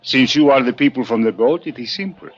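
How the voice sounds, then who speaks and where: A man's voice: medium pitch, brisk, close to an everyday voice. On a muffled old radio recording, a male actor plays a florid Cuban poet with a Spanish accent and a mid-range voice. He is elaborately polite yet insistent, circling back over his words in 1950s radio-play style. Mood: courteous, insistent. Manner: over-polite, flowery, repetitive.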